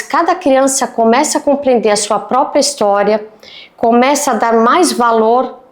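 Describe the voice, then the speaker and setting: A woman with a somewhat high voice speaks as a music teacher in a documentary interview. She is earnest and addresses parents directly. Her delivery is persuasive and heartfelt.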